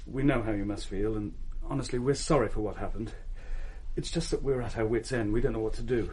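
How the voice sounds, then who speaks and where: A man's voice: fairly low pitch, brisk, warm tone, husky, rough recording. An actor playing a polite, anxious British husband in a radio play, earnest and hesitant in his pleading. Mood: desperate, pleading. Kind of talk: radio drama acting.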